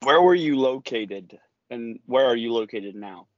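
A man's voice: mid-range voice, lively intonation, a little slow, dry recording, muffled recording. A man hosting a religious interview, opening with a preacherly reflection, then asking questions earnestly. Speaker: host and interviewer. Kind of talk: sermon-like intro then interview.

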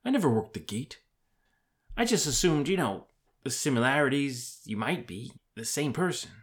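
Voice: medium pitch, big pitch swings, normal speed, voice pushed up, lightly acted. A narrator playing an indignant, plain-spoken lad in a comic storybook fantasy. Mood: puzzled. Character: indignant, touchy chubby lad, fantasy adventurer.

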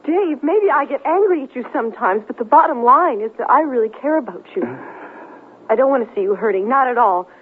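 An actress playing an earnest, soft-hearted young working woman in a radio drama, with a husky, plain-spoken delivery. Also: fairly high pitch, animated, a little fast. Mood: tender, concerned. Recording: thin, muffled 1970s radio drama recording.

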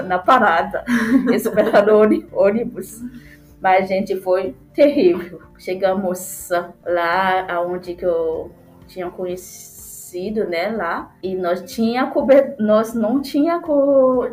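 A Haitian woman in her thirties with a non-native accent tells her life story in an interview, in halting phrases with frequent self-corrections. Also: medium pitch. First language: not Portuguese, learned it as an adult in Brazil. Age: 30s. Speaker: podcast guest telling her life story. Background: background music.

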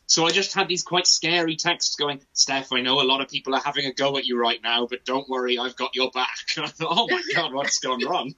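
Welsh guy comedian telling stories, really bright sharp voice, chatty, slightly muffled online call. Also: mid-range voice, brisk, few pauses. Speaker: guest comedian. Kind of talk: casual anecdotal chat. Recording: dull online call audio.

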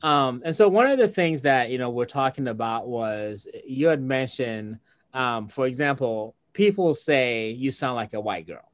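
A man who grew up outside the United States hosts a conversational interview, musing aloud and voicing his own inner thoughts. His voice is mid-pitched. It is recorded close and dry, with no room echo. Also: lively intonation, unhurried. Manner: reflective, many fillers, acts out inner thoughts.

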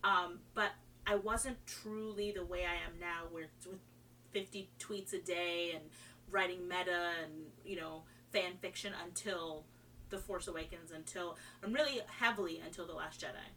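A woman with a bright, keen voice talks casually and enthusiastically, doubling back on her sentences as she goes. She is from Trinidad and moved to America young. The recording sits a little far from the mic, with faint noise and hum. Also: on the low side, fairly even intonation, moderate pace. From Trinidad, moved to America at age five. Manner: rambling, enthusiastic, self-interrupting. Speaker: podcast guest. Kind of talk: casual chat about fandom.

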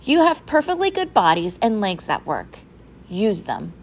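A woman's voice: a little high, very animated, normal speed, creaky, a little hiss or hum, voice pushed up. A woman voicing a stern, principled female music teacher in a storybook, speaking in a firm, instructive way.

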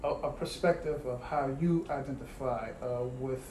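A man's voice: mid-range voice, moderate pace, darkish tone. A man co-hosting a casual discussion, asking probing questions and explaining his view at length. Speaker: podcast co-host. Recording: echoey room with audible noise.

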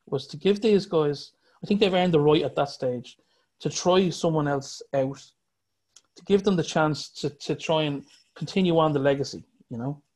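A man with a fairly high speaking voice hosts a casual podcast chat. He weighs his opinions calmly and agrees readily with his co-host. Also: normal speed. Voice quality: higher singing voice. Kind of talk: casual music review chat.

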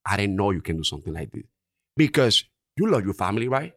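Earnest Dominican-accented man, deep voice. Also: big pitch swings, quick pace, no echo, studio quality. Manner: earnest, repeats "right?" often. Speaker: insurance agency owner. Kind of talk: podcast interview.

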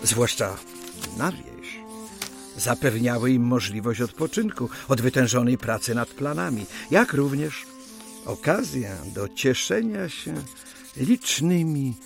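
A man narrating a scripted radio play as a storyteller, with measured, deliberate phrasing and pauses. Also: medium pitch, lively intonation, creaky. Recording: music under the narration. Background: music.

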